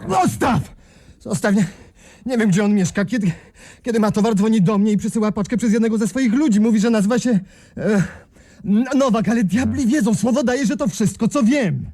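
A man playing a nervous, evasive small-time drug dealer in a radio play, husky and edgy. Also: high-pitched, brisk, mellow. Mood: panicked. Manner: edgy, faltering under threat.

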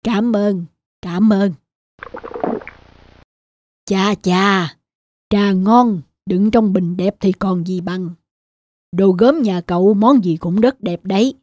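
A woman voicing a wise, kindly old man in a cartoon, gentle and advising. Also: mid-range voice, slow, pauses often, no echo, natural voice. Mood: thoughtful, appreciative. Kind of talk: cartoon dubbing.

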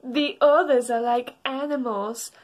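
A young woman voicing a menacing, protective puppet in slow, deliberate amateur cosplay voice lines. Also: high voice, steady tone. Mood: cold menace. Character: menacing, possessive puppet master guarding others. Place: small bedroom.

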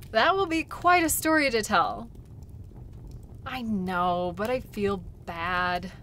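A woman playing a friendly, caring dog sled guide in an intimate audio role play, gentle and teasing. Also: medium pitch, lively intonation, takes it slowly, long pauses, slight background noise. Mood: warm, apologetic.

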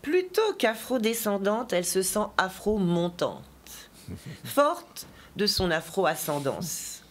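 A woman poet and teacher giving an interview, telling stories with many asides and then reading her poetry slowly and deliberately. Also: medium pitch, big pitch swings. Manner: digressive, chatty storytelling with asides; slow, deliberate poetry recitation.

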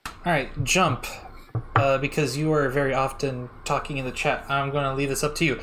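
A man's voice: mid-range voice, steady tone, normal speed, pushed and bright, slight background noise. A man streaming a video game, chatting casually and swearing with friends in voice chat. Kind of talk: casual live-stream chat while gaming.

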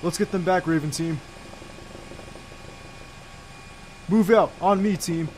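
Man acting youngish agent squad leader, higher-pitched, noisy. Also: a little fast, darkish tone, natural voice. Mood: urgent, commanding. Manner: clipped military radio commands. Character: young male secret agent team leader, devoted boyfriend. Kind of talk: ASMR audio roleplay drama acting.

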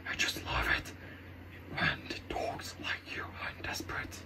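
A man voicing a mocking, sadistic ghost in a hushed, breathy whisper over music. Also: unhurried. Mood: cruel, gloating. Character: mocking sadistic male ghost without a body.

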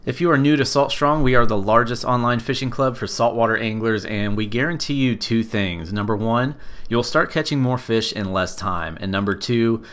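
A man in his thirties giving a relaxed, conversational review of fishing gear to the camera, with frequent fillers. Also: mid-range voice, moderate pace. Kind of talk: casual product review to camera. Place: small indoor room with rod racks.

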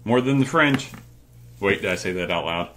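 A man's voice: on the low side, lively intonation, normal speed. A middle-aged man talking casually and thinking aloud as he hosts a hands-on teardown video. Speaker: video host. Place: indoors at a tabletop workspace. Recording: noticeable background noise.

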